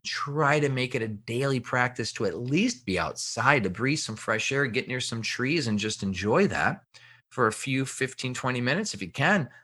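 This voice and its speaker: A man's voice: mid-range voice, lively intonation, normal speed, sharp tone, slightly rough. A man speaking as an expert guest in a podcast interview, explaining things with emphasis and repeated phrases. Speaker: guest health researcher and author.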